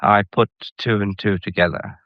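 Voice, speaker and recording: An actor playing an insolent, scheming English blackmailer in a mystery radio drama, talking with smug, deliberate menace. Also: male voice, lowish voice, fairly even intonation, dry recording, natural voice. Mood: smooth, evasive. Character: insolent, scheming male gallery employee turned blackmailer. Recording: dull, muffled radio drama recording.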